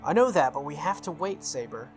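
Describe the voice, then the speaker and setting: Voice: medium pitch, very animated, brisk, soft background music, lightly acted. A game streamer voicing an earnest, protective, stubborn young man who scolds bluntly in a visual novel. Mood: firm.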